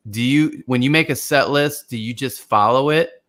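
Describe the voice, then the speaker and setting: A man's voice: medium pitch, normal speed, a bit bright. A man co-hosting a podcast, asking long rambling questions with many restarts. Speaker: podcast co-host. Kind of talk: casual podcast interview.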